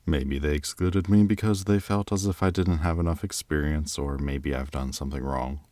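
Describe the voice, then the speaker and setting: A man's voice: extremely low pitch, moderate pace, darkish tone, no room sound. A man playing a polite, reflective and charming diplomat candidate in an audio drama, speaking in a courteous, thoughtful way. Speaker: job candidate. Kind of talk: audio drama acting, conversational interview answers.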